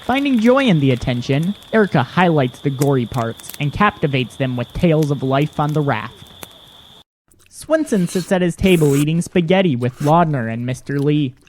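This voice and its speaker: A man's voice: a little high, expressive, moderate pace, a little mellow, slight background noise. A man narrating a scripted audio drama.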